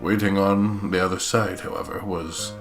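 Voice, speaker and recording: A man's voice: low-pitched, fairly even intonation, normal speed, soft background music. A man narrating a visual novel as a let's play co-host, with crude, sweary, mocking humour.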